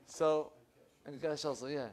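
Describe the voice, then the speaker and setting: A young man, a rabbi giving an animated Torah class, his voice swinging between loud bursts and low asides. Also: measured pace. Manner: animated, with big swings in pitch and loudness and lively hand gestures. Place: wood-panelled room with bookshelves. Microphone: lapel.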